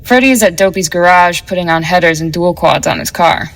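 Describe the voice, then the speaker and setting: A woman's voice: on the low side, a little fast, natural voice. A young woman acting in an audio drama as a cool, scheming, slangy teenage girl in a 1950s gang, offhand and sardonic.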